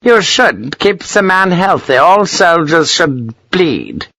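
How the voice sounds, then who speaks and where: Irascible colonel: high, blustering bark, imperious, animated, historical novel audiobook. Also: male voice, slow, creaky, no room sound, exaggerated voice. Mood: impatient, commanding. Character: pompous, bullying, irascible English colonel barking orders.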